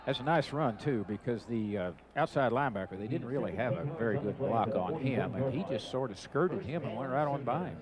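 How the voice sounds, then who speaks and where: An American man giving conversational football color commentary in a folksy, halting way. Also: medium pitch, expressive. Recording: radio broadcast with stadium crowd behind. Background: stadium crowd.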